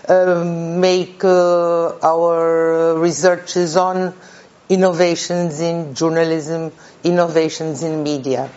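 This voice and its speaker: A Brazilian woman professor with a Portuguese accent gives an informal talk at a conference, unhurried and full of hesitant fillers. Her speech comes through a slightly muffled, lo-fi recording. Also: on the low side, a little mellow. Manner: halting, many uh fillers and restarts. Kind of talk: informal academic presentation. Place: conference room.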